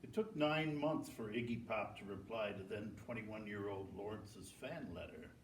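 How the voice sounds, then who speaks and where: A man's voice: fairly low pitch, normal speed, slight background noise. A man performing a dramatic scripted letter reading with emphatic delivery, in a distant, roomy live recording.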